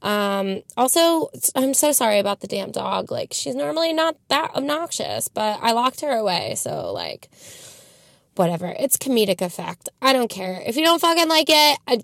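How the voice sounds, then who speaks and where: A woman's voice: on the high side, very animated, moderate pace, vocal fry, fairly bright tone, no echo, clean studio sound. A woman in her twenties hosting a casual podcast, chatty, laughing often and swearing freely. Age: 20s. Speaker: podcast host. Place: house where she is dog sitting.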